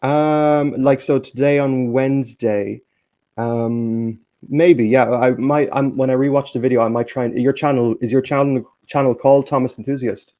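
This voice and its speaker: A man hosting a casual live stream, slightly hoarse and halting, with many restarts. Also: mid-range voice, fairly even intonation, mellow. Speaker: stream host.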